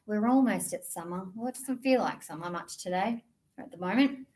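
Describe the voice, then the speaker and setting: A woman's voice: mid-range voice, a little fast, a little mellow. A woman presenting a children's storytime, reading a picture book in a playful, theatrical, sing-song way. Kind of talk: animated picture-book reading and action songs for young children.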